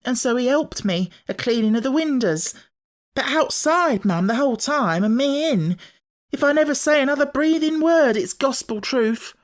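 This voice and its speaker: A man's voice: very high voice, animated, moderate pace, voice pushed up. A man voicing a flustered, tearful young working-class housemaid who rambles in ungrammatical servant speech for an audiobook. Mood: tearful, protesting. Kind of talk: audiobook character acting.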